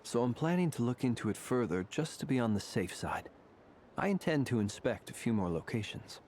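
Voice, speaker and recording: An actor playing a courteous, precise gentleman monster hunter in a video game, speaking in a polite, deliberate, formal way. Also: medium pitch, big pitch swings, slight background noise, lightly acted. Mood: calm.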